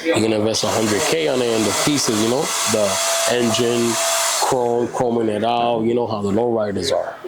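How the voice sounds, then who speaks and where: A man's voice: medium pitch, fairly even intonation, normal speed, a loud crowd, poor audio. A man in his thirties, a native Spanish speaker from Puerto Rico, talking casually as a barber in an interview, rambling and often saying "you know". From Puerto Rican. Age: 30s.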